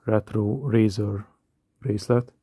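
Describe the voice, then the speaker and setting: A man in his thirties from Hungary with a Hungarian accent, calmly narrating a scripted voice-over about watches. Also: fairly low pitch, unhurried, husky, dry recording. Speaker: watch collector.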